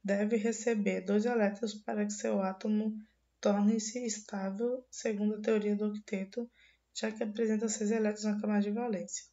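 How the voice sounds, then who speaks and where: A hoarse young woman with a cold gives a student class presentation, her voice dark and mellow. She reads steadily from slides in a flat, even tone, often restarting words. The recording is dull and lo-fi. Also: mid-range voice, normal speed. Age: young adult. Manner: reading slides with frequent restarts.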